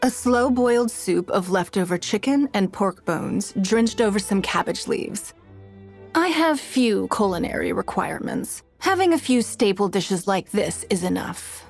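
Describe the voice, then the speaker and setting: A wealthy, calculating woman leader from a video game. She speaks slowly and deliberately at a medium pitch, with refined poise and cool authority. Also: lively intonation, soft background music. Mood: cool, measured. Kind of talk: video game character voice lines.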